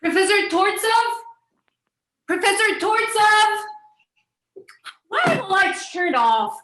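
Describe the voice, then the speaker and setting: A woman playing an excitable flustered author. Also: extremely high pitch, slow, exaggerated voice, poor audio. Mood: puzzled. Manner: breathless and eager, chatty.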